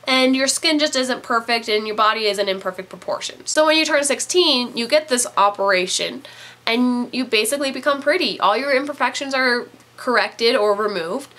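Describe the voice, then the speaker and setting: A woman's voice: a little high, pushed and bright. A woman in her twenties with a stuffy, nasal voice from a cold, chatting casually as a book reviewer. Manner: chatty, rambling with fillers.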